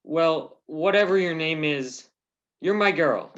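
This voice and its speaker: A man's voice: a little high, takes it slowly, fairly bright tone, a little hiss or hum. A man playing an earnest, anguished, slightly dim husband in a radio soap opera parody. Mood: tender. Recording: dull lo-fi recording.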